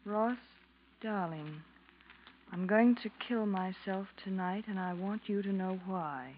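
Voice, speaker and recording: A woman's voice: mid-range voice, slow pace, close to an everyday voice. An actress playing a secretive, tense woman caught in a love rivalry, with guarded, theatrical delivery in an old-time radio drama. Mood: anxious, searching. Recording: muffled, noisy old radio recording.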